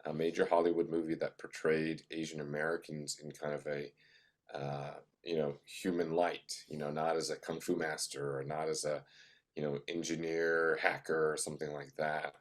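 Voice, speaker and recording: A man's voice: very deep voice, little pitch movement, normal speed. A man in his fifties, a professor and physician, speaking thoughtfully on a video panel call and often saying "you know".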